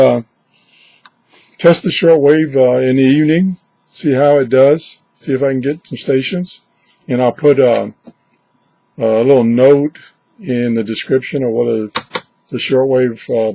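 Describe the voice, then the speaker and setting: A man's voice: mid-range voice, steady tone, unhurried, vocal fry, dark tone, low-quality recording. A man giving a casual, unscripted product review in a conversational way, musing aloud with wry asides. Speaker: product reviewer presenting a gadget.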